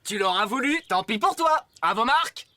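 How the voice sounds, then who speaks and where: A woman's voice: fairly high pitch, lively intonation, moderate pace, music in the background, lightly acted. A woman voicing a mocking, competitive farm vehicle in a preschool cartoon. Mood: challenging. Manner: mocking, teasing. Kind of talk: dubbed preschool cartoon acting.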